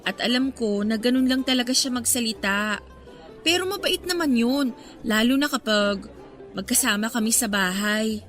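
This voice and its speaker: A young Filipina college student with a high, bright voice. She sounds good-natured and playfully scolding, in radio drama style, with music underneath. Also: expressive, moderate pace. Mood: gently defensive. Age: young adult. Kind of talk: Tagalog radio drama acting. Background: background music.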